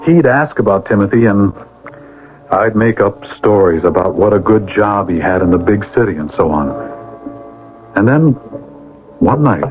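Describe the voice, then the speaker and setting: An actor playing a stern, responsible older brother in a radio drama. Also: male voice, on the low side, close to an everyday voice. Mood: sober narrating. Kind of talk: 1980s radio drama acting with narration. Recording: tinny old radio broadcast.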